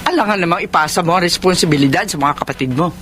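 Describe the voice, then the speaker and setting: A woman's voice: fairly low pitch, fast talker, fairly bright tone, a little hiss or hum, lightly acted. A woman playing a bitter, demanding middle-aged mother in a radio drama, accusing and confrontational, full of reproachful questions. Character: bitter, demanding mother who guilt-trips and resents. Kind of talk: radio drama acting in Filipino.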